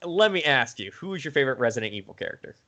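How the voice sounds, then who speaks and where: Mid-pitched man hosting a casual interview. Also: very animated, racing, bright, forceful tone. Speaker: interviewer, host. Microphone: online voice call.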